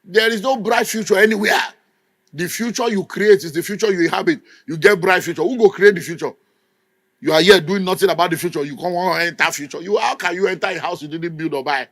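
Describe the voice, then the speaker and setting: A man's voice: sits high, quick pace, fairly bright tone, no echo. A middle-aged Nigerian man preaching a sermon with an emphatic, scolding delivery. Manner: emphatic, scolding, mimics people in quotes. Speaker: pastor.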